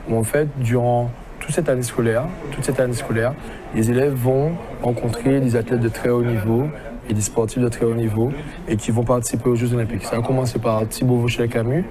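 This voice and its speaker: A teacher speaks steadily about a school project, explaining things and often saying "en fait." His pitch barely moves. Clear background noise runs behind him. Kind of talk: explanatory interview.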